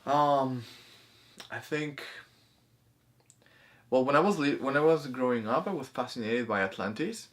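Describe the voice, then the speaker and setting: A man's voice: medium pitch, unhurried, long pauses. A man co-hosting a casual movie discussion, explaining things in a hesitant, self-correcting way. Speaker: co-host of a spirituality review show.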